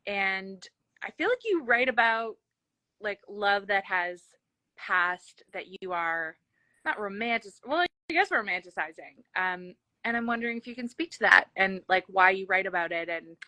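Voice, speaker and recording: A woman interviewer with a very bright, forward voice and a slight lisp. She chats casually and effusively, rambling and poking fun at herself. The sound is dry and close. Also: medium pitch, animated, moderate pace, no echo. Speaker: interview host.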